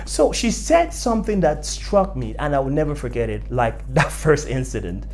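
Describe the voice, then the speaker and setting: Young Nigerian vlogger, emphatic storyteller with very lively intonation, faint music underneath. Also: medium pitch, normal speed. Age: young adult. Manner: emphatic, repeats phrases for effect. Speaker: vlogger telling personal stories. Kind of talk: animated storytelling to camera.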